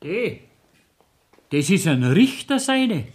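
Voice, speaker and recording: An actor playing an eager, gloating village court clerk in a radio play, with a rustic Bavarian accent and excitable manner. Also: male voice, high-pitched, slow, exaggerated voice. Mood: matter-of-fact. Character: male village court clerk, eager, gleefully watchful underling. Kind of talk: dialect radio play acting.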